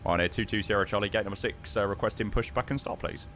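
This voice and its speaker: A man's voice: lowish voice, fast talker, fairly bright tone, slight background noise. A young man with a London accent, chatting casually with viewers while flying a simulator and making radio calls. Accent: London English. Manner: chatty, joking, thanking viewers. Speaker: flight sim streamer.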